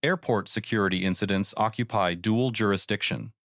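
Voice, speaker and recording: Low-voiced man, dry scripted narration. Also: fairly even intonation, a bit bright, dry recording. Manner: formal, measured explanatory delivery. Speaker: narrator giving legal analysis.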